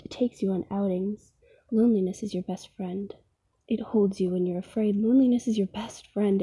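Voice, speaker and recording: A woman performing a slam poem in a soft, breathy voice with a solemn, deliberate delivery. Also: medium pitch, no echo. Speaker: poet. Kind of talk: slam poetry recital.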